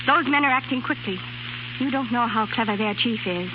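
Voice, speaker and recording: Casting: woman acting as frightened young female spy, high voice, urgent and secretive, dramatic 1930s radio style, muffled noisy broadcast. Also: a little fast, lightly acted.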